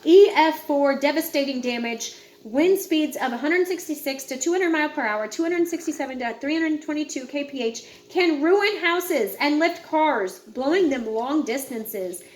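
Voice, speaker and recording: A woman's voice: high-pitched, lively intonation, normal speed, a little mellow. A woman reading a nonfiction book aloud, informally, with casual asides and stumbles she corrects herself. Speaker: read-aloud presenter.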